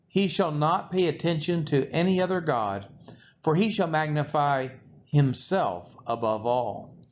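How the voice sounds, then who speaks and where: A man reading scripture aloud in a solemn, scripted style, phrase by phrase with emphatic stresses. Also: fairly high pitch. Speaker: scripture reader. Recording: dull lo-fi recording with faint noise.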